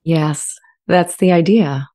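Low, mellow female AI played by an actress. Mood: earnest, measured. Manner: measured, articulate, earnest. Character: earnest, friendly, self-aware artificial intelligence language model. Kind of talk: scripted transcript dramatization. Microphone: studio condenser mic with pop filter, close.

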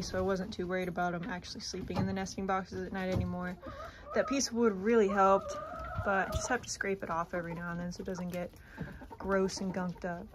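Young woman, mid-pitched, casual farm vlogger on a handheld phone outdoors, chickens clucking clearly behind her. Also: noisy background. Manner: chatty, talks to her chickens in a soft coaxing voice. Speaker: homestead vlogger. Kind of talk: casual vlog narration. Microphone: phone camera held at arm's length. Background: clucking chickens and roosters.

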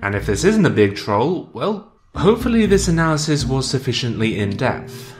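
A man giving scripted, explanatory narration as a video essayist, in a measured and analytical way. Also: mid-range voice, very animated. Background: background music.

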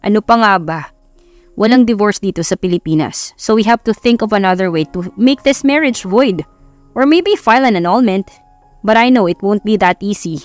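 A woman's voice: mid-range voice, normal speed, no room sound, lightly acted. Filipina narrator doing a smug sarcastic rich playboy guy in a love story, music under, dry sound. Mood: firm. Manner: taunting, code-switching into English. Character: cocky, sarcastic young billionaire playboy man.

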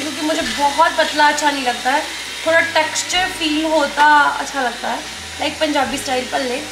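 A woman, chatting casually as she cooks and slipping English words into her talk. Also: sits high. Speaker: vlogger and home cook. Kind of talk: casual chat while cooking, mixing in English. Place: home kitchen, indoors. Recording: echoey kitchen with clear appliance noise.

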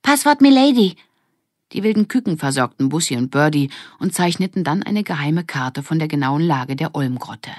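A woman's voice: low-pitched, brisk, hardly any pauses, a little mellow, no room sound, studio quality. A woman reading a scripted children's story as an audiobook narrator.

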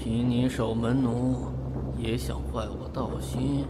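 An actor playing a calm, aloof Taoist master in an audio drama, measured, lofty and quietly defiant. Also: male voice, medium pitch, a little hiss or hum, close to an everyday voice. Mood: scornful, unruffled.

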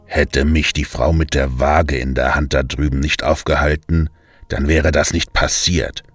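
A man voicing a sulking, bitter male god of the underworld, gruff and scornful, with music behind. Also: extremely low pitch, fairly even intonation, unhurried, no echo, voice pushed down.

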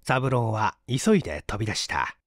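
A man's voice: on the low side, sing-song, moderate pace, no room sound, clean studio sound. A man narrating a scripted story dramatically as an audiobook reader.

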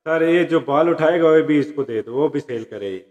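A man's voice: on the high side, moderate pace, darkish tone. A man in his thirties bantering as the sidekick on a prank phone call, at a studio mic. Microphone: shared studio condenser mic with pop filter. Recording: long reverberant echo.

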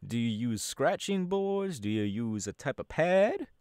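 A man's voice: mid-range voice, sing-song, unhurried, a little mellow, clean tone, no echo, clean studio sound. A man voice acting a laid-back young male DJ gang boss in an ASMR audio roleplay, talking in an easygoing, chatty drawl. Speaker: voice actor.

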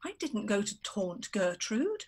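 A woman's voice: medium pitch, very animated, unhurried, darkish tone, breathy edge. A woman acting a scheming, elegant Victorian adventuress in a radio play, with a haughty, theatrical manner. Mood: feigned innocence.

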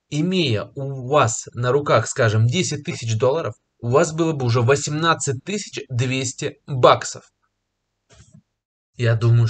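Slightly ill young man pitching crypto. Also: slightly rough. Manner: confident, persuasive, pausing with filler words. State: slightly ill, clearing throat and coughing. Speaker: crypto market commentator. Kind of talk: screen-share market analysis to camera. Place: small room indoors. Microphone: webcam in a corner of a screen recording.

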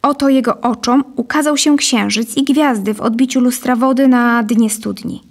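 A woman in her thirties narrating a scripted story deliberately and expressively into a close studio microphone. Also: on the high side, fairly even intonation. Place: dim studio set, indoors. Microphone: studio condenser on a boom, close.

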